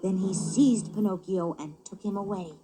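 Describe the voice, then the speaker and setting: A woman narrating a children's story as a book on tape, expressive and clearly paced. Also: mid-range voice, moderate pace, music in the background, rough recording.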